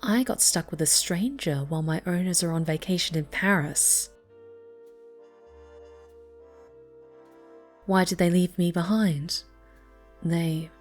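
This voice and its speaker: A woman playing a snobby, pouty young cat girl with a soft side in an ASMR roleplay. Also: on the low side. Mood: sulky. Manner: haughty, pouty, dismissive.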